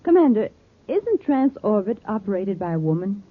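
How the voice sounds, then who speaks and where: An actress playing a serious, inquisitive security chief in a 1950s radio space adventure, on a tinny old recording. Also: female voice, mid-range voice, sing-song, moderate pace. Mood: serious, questioning.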